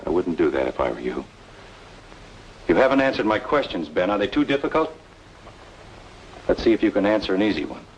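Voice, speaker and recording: A man's voice: low voice, sing-song, brisk, pauses often, highs cut off, slight background noise. An actor playing a wronged, obsessive younger man, speaking with insistent intensity in an old-time radio noir drama. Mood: insistent, sardonic. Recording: old radio recording, faint music.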